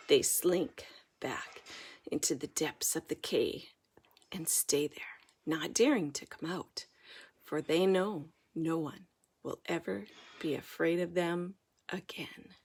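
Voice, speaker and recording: A woman reading a children's story aloud as an expressive narrator, dramatic and deliberate in her delivery. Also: low voice, creaky, slightly rough. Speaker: storybook reader.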